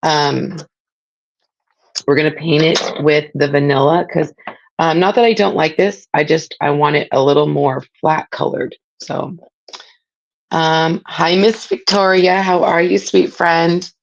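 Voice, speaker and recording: A middle-aged woman, raspy from a spring cold, chatting casually as she hosts a live craft demo and greets viewers by name. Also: deep voice, fairly even intonation, a little slow, highs cut off. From Southern California. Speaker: craft livestream host. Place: home craft room.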